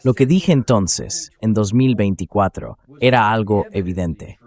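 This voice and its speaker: A male host with a fairly low voice delivers political commentary. He speaks in a measured, explanatory way and puts firm emphasis on his key points. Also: a little mellow. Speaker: show host. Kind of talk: political commentary to camera, translated read-aloud.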